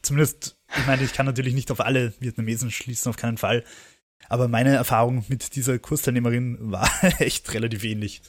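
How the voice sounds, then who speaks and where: A man's voice: mid-range voice, steady tone, brisk, no echo. A chatty man on a film podcast who retells plots at length and chuckles between remarks. His voice is recorded dry and close, with no room sound. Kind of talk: casual film discussion.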